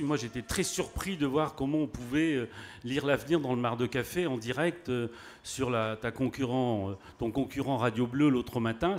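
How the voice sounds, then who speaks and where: A man, an exhibition curator, explaining things with asides in casual panel talk at a live public event. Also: mid-range voice.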